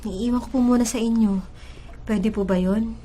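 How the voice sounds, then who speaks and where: Woman acting humble young Filipino mother, highish voice. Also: steady tone, normal speed, dark tone, natural voice. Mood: humble, hopeful. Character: young mother, humble, apologetic, grateful goddaughter. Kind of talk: Filipino radio drama acting.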